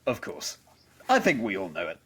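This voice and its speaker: A man's voice: fairly low pitch, huge pitch swings, moderate pace, fairly bright tone, breathy edge. A man hosting an interview chat, speaking in an effusive, rather formal and wordy way. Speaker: podcast host interviewer.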